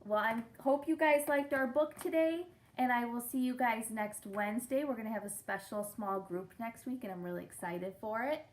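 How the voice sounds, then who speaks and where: A woman's voice: a little high, moderate pace, few pauses. A young woman teacher reading a picture book aloud to children, counting along and asking them questions.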